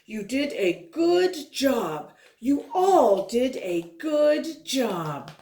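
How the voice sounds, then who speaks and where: An impressed storybook landlord, voiced by a woman, gives deliberate approval to children. The man's lines come slowly and fairly high, with echo around them. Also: very animated, dark tone, heavily acted. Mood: impressed, approving. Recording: roomy, echoing sound.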